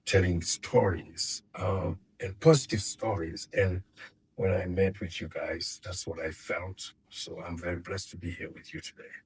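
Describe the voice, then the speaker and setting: A man's voice: sits low, fairly even intonation, measured pace, slight background noise, low-quality recording. A middle-aged man from Senegal, guest in an interview, speaking hesitantly and often repeating words while he searches for them. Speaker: guest filmmaker. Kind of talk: casual interview.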